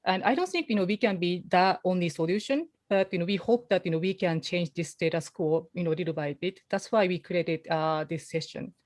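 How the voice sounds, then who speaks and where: A woman's voice: on the low side, darkish tone, no echo, studio quality. Japanese-accented woman moderating a webinar. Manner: halting, many "you know" fillers. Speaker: panel moderator.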